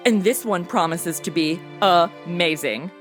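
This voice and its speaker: A woman's voice: lowish voice, lively intonation, slow, faint music behind. A woman playing a gushing, blunt, slangy modern young woman in a comedy audio drama. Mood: gushing, excited.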